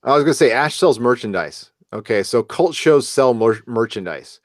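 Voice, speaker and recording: Man, average pitch, podcast host, rambling casual chat, jokey, salty language, dry recording. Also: lively intonation, moderate pace, dry recording. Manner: rambling, profane, joking.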